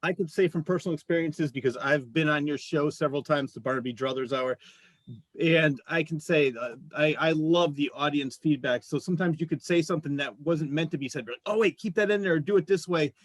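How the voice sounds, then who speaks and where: A man's voice: on the high side, brisk, no room sound. A man co-hosting a casual interview show, talking in an effusive, appreciative way. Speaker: show co-host and voice actor.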